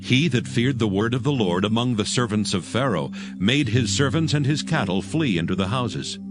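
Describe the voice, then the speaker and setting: A man's voice: medium pitch, lively intonation, moderate pace, natural voice. A man narrating a dramatized scripture reading in a solemn, scripted style. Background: music.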